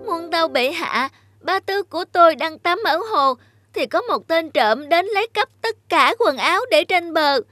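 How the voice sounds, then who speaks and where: A woman's voice: extremely high pitch, lively intonation, normal speed, sharp tone, no echo, studio quality, soft background music, full character voice. A woman voicing a clever, confident talking cat in a fairy-tale cartoon, persuasive and polite with a theatrical manner. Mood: theatrical distress.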